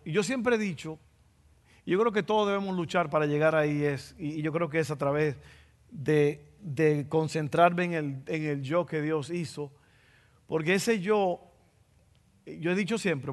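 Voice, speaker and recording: A man's voice: on the high side, big-room sound. A man with a Dominican accent preaching a sermon, playful and joking, often repeating phrases for emphasis. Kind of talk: sermon with jokes.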